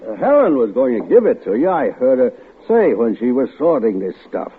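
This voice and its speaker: A man's voice: mid-range voice, very animated, fairly quick, close to an everyday voice. An actor playing a shifty, menacing man with a secret in an old radio thriller, stammering, evasive and blustering. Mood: nervous coaxing. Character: shifty, menacing man hiding a crime, bribing and bullying. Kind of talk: 1950s radio thriller acting. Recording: narrow, hissy old radio recording.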